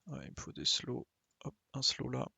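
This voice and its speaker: Male game streamer, gravelly voice, dry close sound, casual and slangy, talks himself through play. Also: medium pitch, sing-song, moderate pace, bright, forceful tone, no room sound. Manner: colloquial, mild swearing, muttering to himself. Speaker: streamer playing a video game. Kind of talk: casual gaming commentary, thinking aloud.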